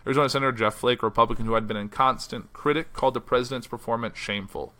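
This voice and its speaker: A man runs his own news podcast from home. He talks quickly with a bright, forward tone, and his voice sounds a little worn and hoarse. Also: mid-range voice. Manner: reads quotes in a flat newsy voice, then chats loosely with fillers. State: losing his voice. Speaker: podcast host. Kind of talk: solo news podcast, reading articles aloud. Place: house.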